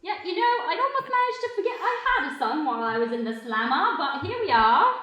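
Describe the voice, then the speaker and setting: A woman's voice: sits high, big pitch swings, a little fast, pushed and bright, dull audio, heavily acted. A woman playing a bossy, wry mother who runs a small-time criminal gang, in an improvised comedy radio play. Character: brash scheming British mother, small-time criminal ringleader. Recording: very echoey room.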